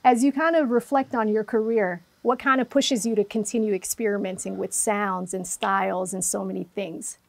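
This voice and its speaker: A woman's voice: medium pitch, fairly even intonation, moderate pace, vocal fry, hardly any pauses, no room sound. Attentive East African woman interviewer. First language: not English. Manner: warm affirming, frequent "mm-hmm". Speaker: interview host. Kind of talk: interview questions.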